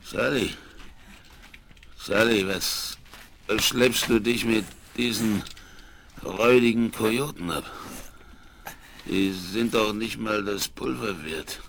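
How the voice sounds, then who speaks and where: An actor playing a grizzled, stubborn old frontiersman in a German western radio play, with a rough, folksy, emphatic delivery. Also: lowish voice, slow pace, pauses often, fairly bright tone, slight background noise, full character voice. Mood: weary, tender. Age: older. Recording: 1970s vinyl radio play.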